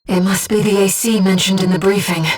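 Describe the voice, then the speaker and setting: A woman as a breathy, calm sci-fi guide. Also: mid-range voice, distant mic, lightly acted. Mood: composed, focused. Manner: measured, precise, earnest. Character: calm, devoted disembodied female voice in a pilot's head. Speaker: mission support guide to a mech pilot. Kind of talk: video game voice acting, tactical briefings.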